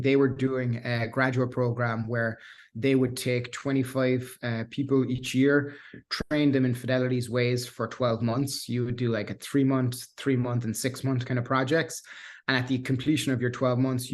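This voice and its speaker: A man in his thirties with a Cork Irish accent, a guest in a casual interview who rambles with restarts and fillers. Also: medium pitch. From Ireland. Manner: rambling, many restarts and fillers, self-deprecating laughs. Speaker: guest, software engineer.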